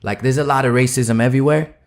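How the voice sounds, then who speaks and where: A man's voice: medium pitch, steady tone, fast. A man raised in the Netherlands whose first language is Dutch, telling stories in a rambling, laid-back way as a podcast guest. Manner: laid-back, rambling storytelling with many fillers. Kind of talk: casual interview chat.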